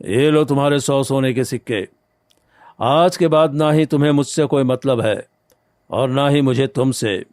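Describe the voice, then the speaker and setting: A man's voice: mid-range voice, unhurried, a little mellow, no echo, clean studio sound, close to an everyday voice. A man voicing an honest, protective poor farmer in a cartoon story, with an earnest, dramatic delivery. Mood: determined. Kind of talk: Hindi cartoon story acting.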